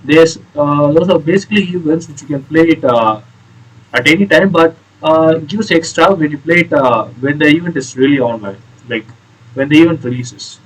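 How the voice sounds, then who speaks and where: A man giving casual, explanatory commentary on a video game playthrough in a hesitant, halting way. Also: on the high side, husky, poor audio. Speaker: gaming video creator.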